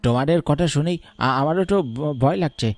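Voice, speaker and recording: A man's voice: a little high, brisk, a little mellow, no room sound. A man voicing stammering frightened village men. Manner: stammering, fearful. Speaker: voice actor for several village men. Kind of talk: cartoon voice acting. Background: music.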